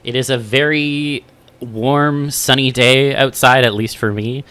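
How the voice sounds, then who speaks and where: Bright-voiced male podcast host. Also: mid-range voice, steady tone, measured pace, clear, resonant tone. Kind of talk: casual podcast chat.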